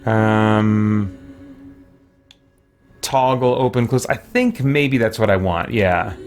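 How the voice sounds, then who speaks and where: A man's voice: on the low side, animated, takes it slowly, faint music behind. A middle-aged man giving casual, conversational let's play commentary as a gaming streamer, rambling and thinking aloud.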